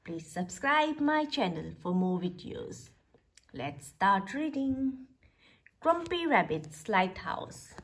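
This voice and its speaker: A woman reading a children's storybook aloud in a deliberate, expressive way with sing-song emphasis. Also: mid-range voice, slightly rough. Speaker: children's book reader. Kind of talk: read-aloud storybook narration.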